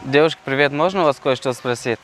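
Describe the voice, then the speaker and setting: A young man from Tajikistan with a Tajik accent, a street vlogger chatting with passers-by in halting Russian full of fillers and restarts. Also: medium pitch, fairly bright tone, a little hiss or hum. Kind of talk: casual street chat and singing. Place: outdoors in a city park.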